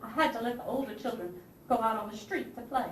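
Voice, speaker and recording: Actress as a high-voiced proud Southern First Lady, distant. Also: fast talker, natural voice. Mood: sorrowful. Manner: theatrical, genteel, emphatic, swinging from anguish to haughty scorn. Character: proud, indignant Southern First Lady of the Confederacy, wounded mother. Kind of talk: stage play monologue. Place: theatre stage, recorded from a distance. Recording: roomy distant stage recording with hiss.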